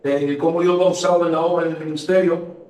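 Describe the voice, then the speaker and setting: A man's voice: a little high, flat delivery, fast talker, darkish tone. A man who is a pastor hosting a radio program, reading scripture and talking with a fervent, emphatic preaching cadence. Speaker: radio show host and pastor. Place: radio studio. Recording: roomy echo, voice distant from mic.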